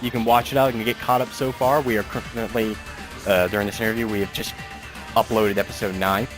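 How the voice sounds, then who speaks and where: A man's voice: lowish voice, level tone, a little fast, music in the background. A man hosting a casual interview as a writer and producer, talking in a rambling, self-deprecating way.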